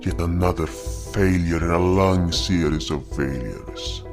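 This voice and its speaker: A man's voice: low-pitched, over music. A man playing a brooding, wounded beast hunter, narrating his own tale solemnly in a dramatic audio story. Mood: shocked, sorrowful. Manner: solemn, slow, deliberate delivery.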